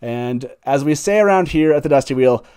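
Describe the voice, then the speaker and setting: A man's voice: fairly high pitch, lively intonation, brisk, a little mellow, clean tone. Chatty, enthusiastic man hosting a show. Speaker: show host. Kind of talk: casual livestream hosting.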